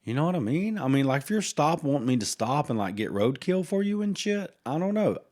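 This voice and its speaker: A man's voice: on the high side, sing-song, a little fast, darkish tone. A man co-hosting a casual true-crime podcast, thinking aloud in a rambling, hesitant way. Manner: rambling, hesitant, with many fillers. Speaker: podcast co-host.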